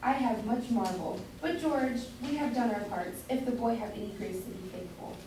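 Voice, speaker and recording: A woman's voice: on the high side, normal speed, warm tone, lightly acted. A woman playing an opinionated, chatty citizen's wife in an amateur staged reading, speaking in an emphatic, gossipy way. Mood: proud, boastful. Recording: echoey room from far off, background noise.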